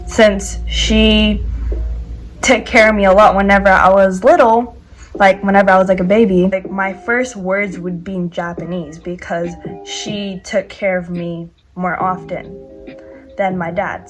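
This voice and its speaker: A woman's voice: mid-range voice, low-quality recording. Chatty teenage girl vlogging. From United States. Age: teens. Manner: chatty, lots of "like" and "um" fillers. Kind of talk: casual chat to camera. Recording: faint background music.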